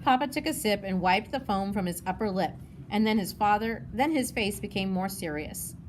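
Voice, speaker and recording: A woman's voice: medium pitch, lively intonation, normal speed, rough recording. A woman narrating a children's novel as a read-aloud. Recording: noticeable background noise.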